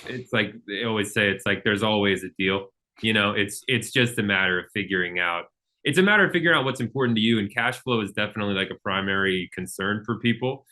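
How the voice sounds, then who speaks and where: Male guest from Pennsylvania, lower pitch, bright tone, affable and agreeable. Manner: affable, agreeable, quick affirmations. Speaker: podcast guest, finance professional. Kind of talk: casual podcast conversation.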